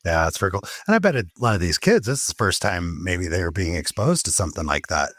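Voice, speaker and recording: A man's voice: deep voice, big pitch swings, fast, a bit bright, no room sound, clean studio sound. A man hosting an interview in a casual, conversational style. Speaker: interview host.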